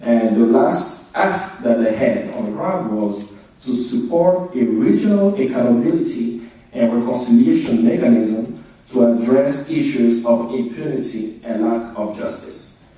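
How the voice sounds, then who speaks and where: Guy doing a formal briefing, soft dark tone, super echoey, mic sounds a bit far off, lists points steadily. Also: medium pitch, lively intonation, measured pace. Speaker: advocacy speaker. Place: indoor meeting room. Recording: echoey room, distant microphone.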